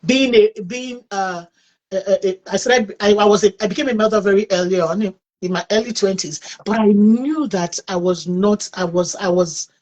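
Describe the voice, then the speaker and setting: A woman's voice: medium pitch, moderate pace, darkish tone. A Nigerian woman in her thirties or older hosting an interview, thanking her guest effusively and often repeating words as she speaks. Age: 30s or older. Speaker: host.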